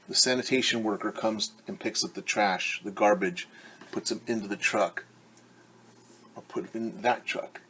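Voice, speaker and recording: A man's voice: on the low side, fairly bright tone, slight background noise. A middle-aged man reading a picture book aloud as a teacher, stopping to explain it simply and repeating words for young children. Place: small room at home, indoors.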